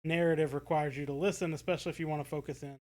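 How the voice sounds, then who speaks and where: Higher-pitched American man chatting on a podcast. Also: fairly even intonation, racing, a little mellow. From United States. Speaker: podcast co-host. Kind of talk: casual podcast discussion.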